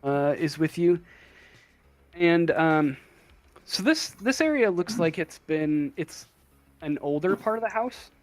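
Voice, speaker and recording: Hesitant, higher-pitched man running a game. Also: sing-song, no room sound. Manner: hesitant, halting. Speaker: game master. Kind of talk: casual improvised game narration.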